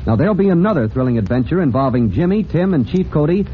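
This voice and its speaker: A man's voice: fairly high pitch, animated, fast talker. A man narrating an old-time radio serial as its announcer, with dramatic, earnest scripted delivery. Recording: dull, noisy old radio recording.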